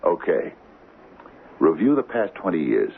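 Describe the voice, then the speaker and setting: Anxious, deep-voiced small-town mayor. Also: male voice, sing-song, measured pace, creaky, full character voice. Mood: urgent, fearful. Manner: hesitant, stammering, earnest. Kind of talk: radio drama acting. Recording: muffled, noisy old radio broadcast, phone-like.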